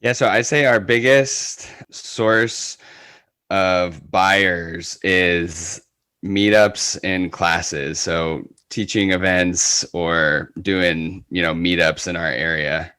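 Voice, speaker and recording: A man's voice: deep voice, fairly even intonation, drawn-out words, sharp tone, smooth, dry recording. A man speaking casually as an interview guest on a podcast, earnest and enthusiastic. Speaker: podcast guest, real estate agent.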